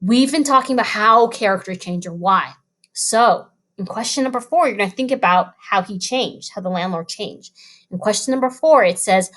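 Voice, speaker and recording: A woman's voice: mid-range voice, a bit bright. A woman schoolteacher giving an informal recorded lesson, talking pupils through questions step by step. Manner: instructive, directly addressing pupils, step by step. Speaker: elementary school teacher.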